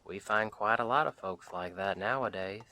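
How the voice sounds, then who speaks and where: A man playing a friendly wandering Western sheriff in an audio roleplay, chatting to the listener in a folksy, wry, unhurried way. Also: on the low side, bright, forceful tone. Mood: easygoing, reflective. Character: friendly wandering Western sheriff, easygoing drifter, dryly humorous.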